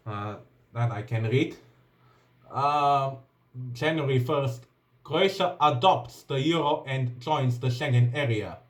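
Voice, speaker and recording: A man with a Bulgarian accent, English not his first language, reading a list of events from a laptop with frequent ums and uhs. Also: medium pitch. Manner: hesitant, many ums and uhs, restarts while reading. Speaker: vlogger.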